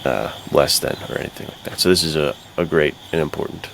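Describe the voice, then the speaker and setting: Extremely deep-voiced, earnest man. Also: a little fast, vocal fry, a bit bright. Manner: earnest, reflective. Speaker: podcast co-host. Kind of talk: casual podcast chat. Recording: faint background noise.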